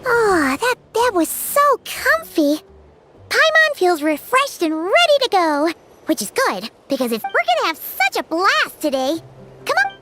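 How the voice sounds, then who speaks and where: Super high squeaky little game sidekick, peppy n childlike, bouncing all over, music behind. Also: female voice, wildly swooping pitch, unhurried, exaggerated voice. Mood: excited, cheerful. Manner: peppy, speaks of self in third person. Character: Paimon, tiny excitable childlike floating companion. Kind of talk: video game voice acting. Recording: music under the voice.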